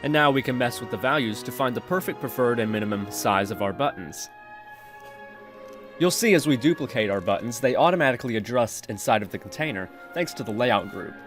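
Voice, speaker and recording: A man narrating a software tutorial in an instructional, step-by-step manner. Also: mid-range voice, animated, fairly quick, music in the background. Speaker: tutorial presenter.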